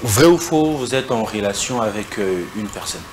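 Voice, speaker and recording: A man hosting a casual interview, firing quick true-or-false questions in a relaxed way. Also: on the low side, background noise. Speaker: interview host. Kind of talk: casual interview in French mixed with Lingala. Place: indoor studio set.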